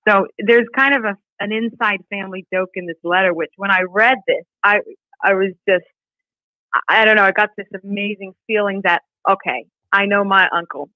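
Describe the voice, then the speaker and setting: A woman's voice: medium pitch, normal speed, dry recording. A woman speaking as an interview guest in a conversational storytelling style, over a remote call. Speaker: podcast guest, nonprofit director. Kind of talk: conversational interview, reading a family letter. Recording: muffled, band-limited remote call audio.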